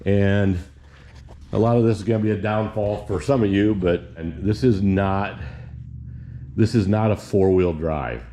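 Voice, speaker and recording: A man's voice: low-pitched, warm tone, a little hiss or hum. A sixty-year-old man from Indiana chatting casually in his garage vlog, rambling and folksy, with many restarts. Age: 60s. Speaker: garage vlogger. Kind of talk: casual how-to chat.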